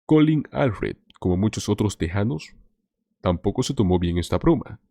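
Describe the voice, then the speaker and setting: A man's voice: lowish voice, expressive, moderate pace, gravelly, warm tone, no room sound, studio quality. A man hosting a political commentary show, talking to viewers in an emphatic, scripted way. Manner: emphatic, addresses viewers as "señores".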